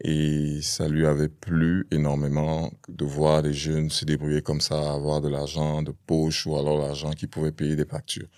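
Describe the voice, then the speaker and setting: A man's voice: very deep voice, monotone, moderate pace, hardly any pauses, a little mellow, dry recording, studio quality. A man in his thirties from Cameroon, a podcast guest speaking casually with many hesitant fillers. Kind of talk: casual interview.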